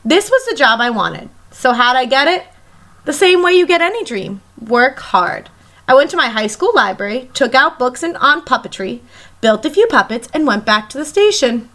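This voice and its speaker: A woman teacher reading a picture book aloud to children in an expressive, emphatic storytelling manner. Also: high-pitched. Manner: animated, emphatic, pauses to question young listeners.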